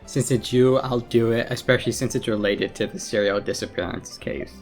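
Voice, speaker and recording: A man's voice: mid-range voice, brisk. A man doing casual playthrough commentary as a gaming streamer, reading dialogue choices aloud. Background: game music.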